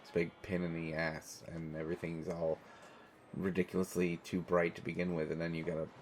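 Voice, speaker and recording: An American man playing an awkward, kindly stranger in an intimate, casual audio roleplay, talking in a halting way with trailing pauses. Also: low voice, monotone, slight background noise, lightly acted, rough recording. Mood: wry, grumbling. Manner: hesitant, halting, with trailing pauses and self-corrections. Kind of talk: intimate one-sided audio roleplay, casual conversational acting.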